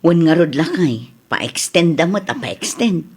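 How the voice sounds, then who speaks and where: A woman plays an easygoing, practical madam of the house in a comedy audio drama. She has a slightly low voice and an Ilocano accent. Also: big pitch swings, measured pace, darkish tone, slightly rough, full character voice. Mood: calm.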